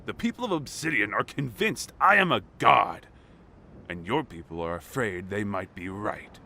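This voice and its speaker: A man's voice: lowish voice, animated, normal speed, vocal fry, exaggerated voice. A man playing a proud, scornful god-king warlord in a fantasy audio drama. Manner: arrogant, mocking, rising to shouts.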